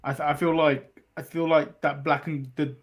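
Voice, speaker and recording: Fairly high-voiced, dry man. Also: little pitch movement, normal speed. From England. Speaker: wrestling podcast co-host. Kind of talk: casual podcast chat.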